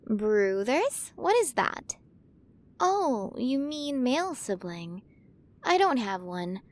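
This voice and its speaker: Woman playing a curious, high-voiced alien queen. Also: sing-song, takes it slowly. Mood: chatty, confiding. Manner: fascinated, coaxing, addresses listener directly. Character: curious, playful alien galaxy queen, millions of years old. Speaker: ASMR roleplay voice performer. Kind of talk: ASMR roleplay, acted monologue to listener.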